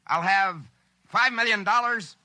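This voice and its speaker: An actor in a 1950s radio drama playing an excitable, greedy man who recounts his own misadventure. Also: high-pitched, unhurried, heavily acted. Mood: greedy, hurried. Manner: frantic when alarmed, grandly wry when narrating. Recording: vintage 1950s radio recording, music behind.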